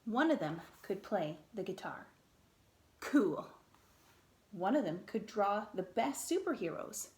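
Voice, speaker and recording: A woman reading a children's picture book aloud in an expressive, theatrical way, with dramatic pauses and emphasis. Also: medium pitch, roomy sound.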